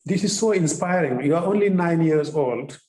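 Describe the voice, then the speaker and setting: A man with a fairly high, mellow voice speaks earnestly and encouragingly in conversation. His phrasing is simple and he circles back to repeat it. The sound is roomy and echoing. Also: moderate pace. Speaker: official in conversation with a young activist. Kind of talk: encouraging interview-style conversation.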